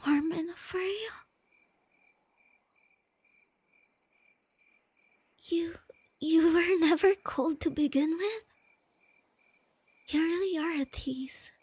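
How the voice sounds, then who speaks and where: A shy, tender, nerdy young girlfriend, acted by a woman for an ASMR roleplay. Her high voice is soft and breathy, often close to a whisper, with a noticeable creak. She speaks slowly and hesitantly in a close, dry recording with night insects behind her. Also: no echo, full character voice. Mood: cozy, tender. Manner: hesitant, gently stammering. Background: crickets and night insects.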